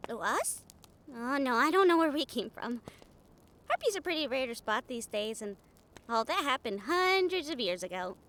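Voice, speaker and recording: Woman playing a young harpy healer, very high pitch, lively intonation, earnest, kind, excitable, audio drama. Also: normal speed. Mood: eager storytelling. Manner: bubbly, gentle, earnest. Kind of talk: audio roleplay acting.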